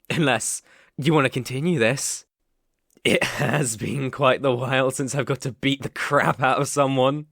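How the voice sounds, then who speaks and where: Male actor as British college bully, ASMR roleplay, taunting, cocky, unhurried delivery, mid-pitched, dry close sound. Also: a bit bright, no room sound. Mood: menacing taunting. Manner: teasing, condescending, swaggering.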